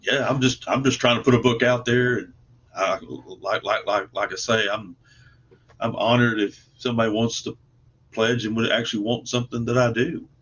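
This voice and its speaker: A man's voice: medium pitch, steady tone, moderate pace, a bit bright, a little hiss or hum. A man chatting casually as an interview guest, modest and folksy, often repeating words as he goes. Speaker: guest comic book creator. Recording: dull lo-fi call audio.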